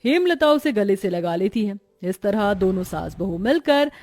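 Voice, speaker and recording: A woman's voice: mid-range voice, lively intonation, moderate pace, a little mellow. A woman narrating a scripted family story as a storyteller.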